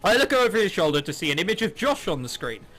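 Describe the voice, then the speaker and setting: A man's voice: high voice, big pitch swings, fast talker, a bit bright. A man reading a visual novel aloud as a gaming host, with amused, sarcastic, sweary asides, over game soundtrack music. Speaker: host of a gaming video series.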